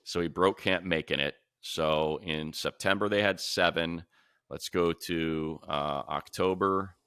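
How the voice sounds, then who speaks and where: A man co-hosting a sports talk show, giving casual analysis and thinking out loud as he runs through lists of names. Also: sits low, steady tone, measured pace, clear, resonant tone, no echo, studio quality.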